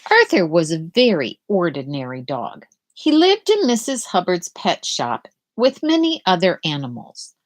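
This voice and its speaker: A middle-aged woman reads a picture book to children in an unhurried way, with plenty of rise and fall in her pitch. She pauses often and talks casually to her young listeners, asking them gentle questions as a storyteller. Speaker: storyteller hosting a read-aloud for schoolchildren. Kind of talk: live picture-book read-aloud with casual chat.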